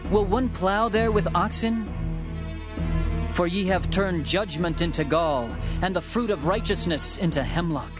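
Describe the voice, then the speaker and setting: A man's voice: high voice, measured pace, music in the background. An actor playing an earnest, bold herdsman prophet, declaiming in a dramatized scripture narration. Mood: stern, warning. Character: earnest male prophet Amos, herdsman turned bold messenger.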